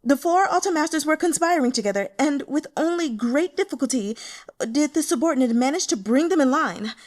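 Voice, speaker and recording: Scheming woman henchman of a demon lord, played by a female reader: pitched up, loud, formal, storybook fantasy. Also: animated, a little fast. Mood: frantic, pleading. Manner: loud, clear, formal address to a superior. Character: scheming, deferential female demonic sect protector. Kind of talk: audiobook character voice.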